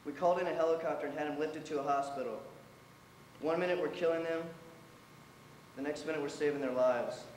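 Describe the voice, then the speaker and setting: A man plays an earnest young American lieutenant in a staged reading of a letter to his sweetheart. The voice is fairly high for a man and carries through a reverberant hall with faint noise. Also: steady tone, fairly quick, long pauses, a little mellow, natural voice. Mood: earnest, troubled. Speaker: readers theater performer. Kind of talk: dramatic reading of war letters. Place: college theater stage. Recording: echoey hall from afar, noisy.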